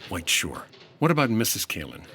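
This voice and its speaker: An actor playing a dry, no-nonsense insurance investigator in an old-time radio detective drama, clipped, wry and deadpan. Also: male voice, on the low side, normal speed, soft background music, heavily acted.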